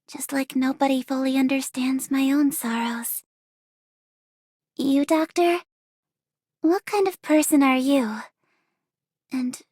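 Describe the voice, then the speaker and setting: Game casting: enigmatic sad young woman, high-pitched, unhurried, wistful. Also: a little mellow, breathy edge, no echo, clean studio sound, exaggerated voice. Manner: wistful, lingering. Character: melancholy, enigmatic young woman, sorrowful and probing. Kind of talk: scripted game voice lines.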